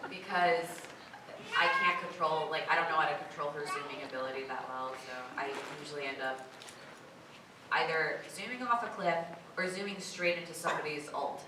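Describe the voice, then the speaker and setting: A hoarse, raspy woman with a low voice chats through fan questions as a convention panel guest, talking herself down with plenty of fillers. She is heard from well across a very echoey room. Steady background noise fills the hall. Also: a little fast, distant mic. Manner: chatty and self-deprecating, many fillers. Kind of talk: casual panel Q&A answers. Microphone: filmed by a camera from across the room.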